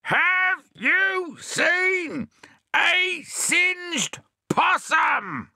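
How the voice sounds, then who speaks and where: A man voicing a blunt, hot-tempered male adventurer who yells when he gets impatient. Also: a little high, very animated, normal speed, gravelly, breathy edge, dry recording, clean studio sound, pitched above the performer's own voice, full character voice. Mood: impatient, roaring. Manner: loud, impatient.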